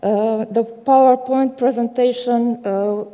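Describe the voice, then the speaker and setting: A woman with a Romanian accent speaks slowly and haltingly, stumbling through a technical talk with many filler sounds. Her voice sits a little high but sounds very dark and mellow. It rings with the long reverb of a large conference hall. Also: steady tone. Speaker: conference presenter. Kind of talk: technical presentation.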